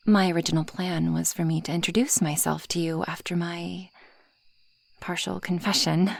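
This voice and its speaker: Woman acting as a haughty dragoness, ASMR roleplay, low-pitched, breathy, possessive, formal wording, close dry sound. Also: a little mellow, no room sound, exaggerated voice. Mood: possessive, smug. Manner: haughty, teasing, formal wordy phrasing, often dropping into whispers. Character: possessive, proud, civilized female dragon predator. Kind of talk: ASMR roleplay addressed to the listener.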